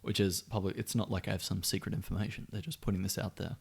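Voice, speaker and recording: Male podcast co-host, low gravelly voice, wry, sweary, informal banter. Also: flat delivery, quick pace. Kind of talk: casual chat.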